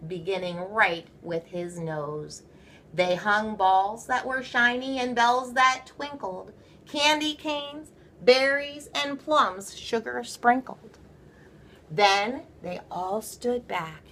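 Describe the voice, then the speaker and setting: A woman reading a children's story aloud, with playful, theatrical emphasis and drawn-out words. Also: medium pitch, fairly bright tone, a little hiss or hum. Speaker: storybook reader. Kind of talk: animated children's read-aloud.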